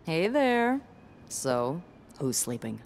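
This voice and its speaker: Sassy low-voiced receptionist. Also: female voice, very animated, slow, a little mellow, slight background noise, exaggerated voice. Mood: playful. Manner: wry, sarcastic, blunt. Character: sassy, gossipy young woman hotel receptionist. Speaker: video game character. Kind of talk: natural conversational game acting.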